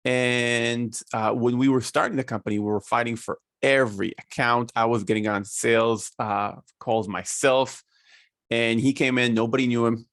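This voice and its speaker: A man in his forties from Israel with an Israeli accent, a guest on a video call explaining his business in a measured way with fillers. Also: mid-range voice, fairly even intonation, fairly bright tone, dry recording, clean studio sound. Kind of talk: casual interview talk.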